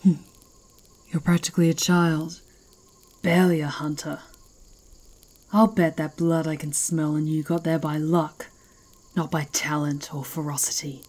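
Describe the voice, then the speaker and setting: A fierce werewolf chieftess, acted by a woman for an ASMR fantasy roleplay. Her voice is low and husky, and she talks slowly, with proud, mocking authority. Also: flat delivery, a little mellow. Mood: taunting, measured. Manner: slow, taunting, imperious.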